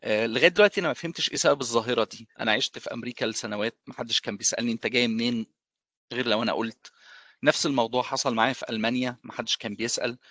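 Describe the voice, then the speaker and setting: An Egyptian man with an Egyptian Arabic accent, recounting a personal experience as an interviewee, pausing often with drawn-out fillers. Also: medium pitch, fairly bright tone, dry recording. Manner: thoughtful, pauses with drawn-out fillers.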